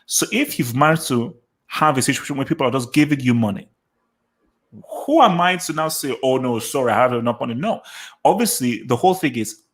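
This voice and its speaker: Animated podcast host, emphatic repeats. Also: male voice, fairly quick. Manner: animated, repeating words, rising emphatic pitch. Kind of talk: casual chat.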